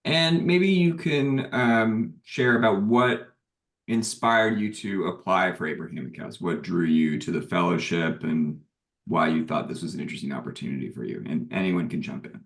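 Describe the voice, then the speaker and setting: A man moderating a video call Q&A, calmly prompting each guest in turn. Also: sits low, moderate pace, gravelly. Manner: calm, prompting questions.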